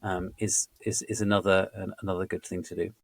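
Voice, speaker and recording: Man podcast host, low pitch, dry close sound, halting phrasing. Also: flat delivery, creaky, no room sound. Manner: hesitant, many restarts and fillers. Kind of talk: podcast interview.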